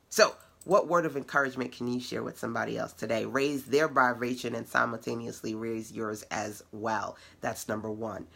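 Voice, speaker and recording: A middle-aged woman with a deep voice, hosting a casual online web class and encouraging her audience in a conversational, playful way. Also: gravelly, fairly bright tone, husky. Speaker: web class host and business coach.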